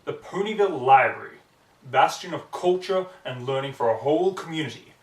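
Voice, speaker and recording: A man's voice: fairly high pitch, expressive, a little fast, recorded from a distance. A man reading a story aloud as narrator in a hammy, theatrical style. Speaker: amateur story reader. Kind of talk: hammy fan fiction narration. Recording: echoey room, off the mic.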